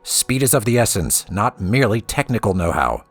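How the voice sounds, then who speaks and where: A man's voice: fairly low pitch, very animated, normal speed, slightly rough, soft background music, pitched above the performer's own voice. A man playing a cruel, impatient master thief and mentor in a storybook reading, menacing, mocking and theatrical. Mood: angry, scolding.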